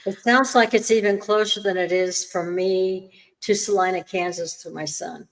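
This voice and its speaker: A woman's voice: medium pitch, fairly even intonation, moderate pace, poor audio. Chatty woman co-host. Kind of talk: casual livestream chat.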